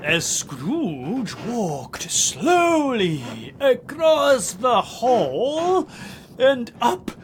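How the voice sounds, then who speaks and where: A man's voice: extremely high pitch, wildly swooping pitch, very slow, a little hiss or hum. A British man narrating a comic parody audiobook in a hammy, mock-spooky storytelling style, with muttered asides.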